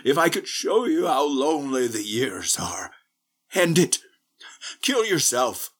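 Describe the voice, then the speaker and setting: A man's voice: medium pitch, sing-song, slow pace, fairly bright tone, voice pushed up, heavily acted. A man voicing a lonely, weary old man of eighty who pleads in despair. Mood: desperate pleading.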